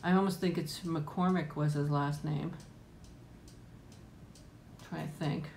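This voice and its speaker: A woman's voice: fairly even intonation, takes it slowly, darkish tone. A woman in middle age hosting a casual live chat with viewers at home, rambling and laughing often, with frequent ums. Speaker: host of a live chat and eating stream. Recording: echoey room with faint rumble.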